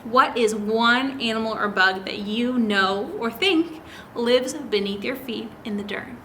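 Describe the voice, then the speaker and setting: A woman with a high, very bright voice leads a children's story time at an unhurried pace. She reads aloud with drawn-out emphasis and turns to young listeners with simple questions and explanations. Some background noise runs under her voice. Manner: teacherly, addresses children directly with many questions. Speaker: children's story time host at a public library.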